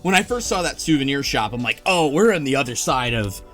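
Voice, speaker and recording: A man's voice: mid-range voice, very animated, brisk, a bit bright. A man in a casual discussion of a game, tentative and rambling as he thinks aloud. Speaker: podcast panelist. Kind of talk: casual chat about a visual novel. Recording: faint music behind the voice. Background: faint music.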